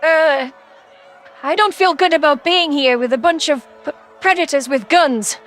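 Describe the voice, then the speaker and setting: A woman's voice: sits high, unhurried, a bit bright, soft background music, voice pushed up. A woman voicing a wary tentacled alien guard, nervous and hesitant yet defiant.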